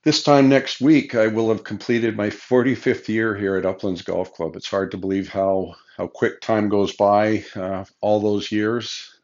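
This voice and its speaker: A man in his sixties, hoarse from a cold, recalling his years as a golf course superintendent in an unhurried way, with many fillers and restarts. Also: lowish voice, a little mellow. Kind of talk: casual spoken recollection to camera. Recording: dull lo-fi sound.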